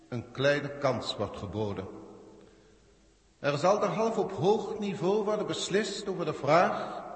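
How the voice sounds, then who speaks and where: An actor playing a cold, haughty alien proctor in a 1960s science-fiction radio drama, speaking formally and condescendingly. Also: male voice, fairly high pitch, lightly acted. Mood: disdainful. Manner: formal, pompous, condescending, archaic wording. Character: haughty alien proctor, cold galactic official testing Earth. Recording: echoey hall-like reverb, 1960s radio.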